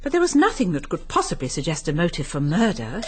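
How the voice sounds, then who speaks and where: A woman playing a genteel, guarded English upper-class lady in a radio drama, speaking politely and with measured composure. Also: sits low, huge pitch swings, darkish tone, slightly rough, exaggerated voice. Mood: composed, evasive.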